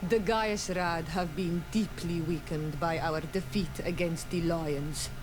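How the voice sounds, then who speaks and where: A woman playing a proud, solemn young island clan warrior leader in a fantasy video game, speaking gravely and deliberately. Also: on the low side, steady tone, over music, rough recording. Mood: grave, weary. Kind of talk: scripted video game dialogue acting.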